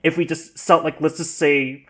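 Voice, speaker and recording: A man chatting casually as a podcast panelist, rambling and halting as he repeats himself. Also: fairly high pitch, fairly even intonation. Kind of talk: casual chat.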